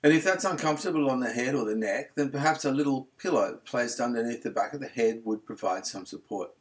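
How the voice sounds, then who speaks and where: Male yoga nidra instructor, mid-range pitch, soothing and unhurried, gentle guided-meditation delivery.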